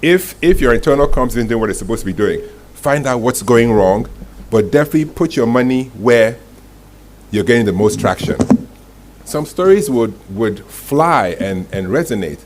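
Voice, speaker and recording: A man's voice: medium pitch, expressive, normal speed, darkish tone. A man answering audience questions at a live talk, direct and teasing, often checking in with "right?". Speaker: masterclass speaker. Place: indoor event room with audience. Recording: clearly noisy live room recording.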